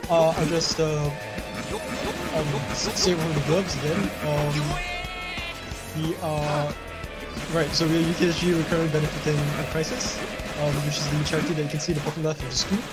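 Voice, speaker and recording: A man informally hosting a live charity stream, speaking in a halting, hesitant, unscripted way. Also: a little high, level tone. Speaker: marathon host reading donations and messages. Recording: loud game music behind the voice. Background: loud video game music.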